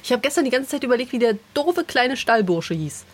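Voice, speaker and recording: A woman's voice: on the high side, very animated, brisk, husky. A woman chatting casually and nostalgically in a group, with an enthusiastic, gushing manner. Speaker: podcast co-host.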